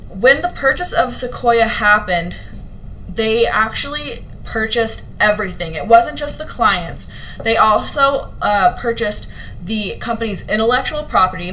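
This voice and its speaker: Slightly hoarse woman vlogging casually. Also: medium pitch, bright, forceful tone, a little hiss or hum, low-quality recording. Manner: chatty, earnest, many ums and restarts. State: a little hoarse. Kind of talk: casual talk to camera to live viewers.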